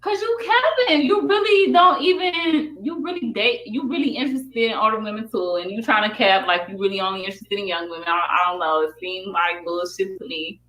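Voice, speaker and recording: A woman's voice: medium pitch, brisk, hardly any pauses, a bit bright, poor audio. A woman chatting casually and bluntly on a live stream, swearing and repeating herself for emphasis.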